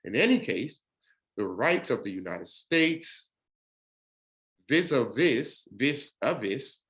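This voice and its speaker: A man's voice: big pitch swings, takes it slowly, a bit bright. A man reading a court judgement aloud, stumbling over words and breaking off to explain it in his own words. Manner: rises in pitch and speeds up when explaining.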